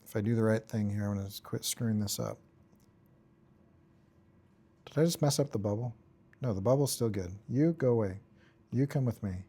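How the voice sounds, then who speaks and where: A man giving an informal software tutorial, thinking aloud in short, offhand fragments as he works. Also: fairly low pitch, moderate pace, long pauses, husky. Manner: offhand, talks in short fragments while working. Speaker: tutorial instructor.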